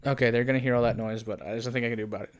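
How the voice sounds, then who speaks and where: A man's voice: medium pitch, steady tone, racing, a little hiss or hum. Young canadian guy on a podcast, dry humor, keeps laughing and rambling. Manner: wry, laughs often, rambling. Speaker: podcast co-host. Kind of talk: casual chat.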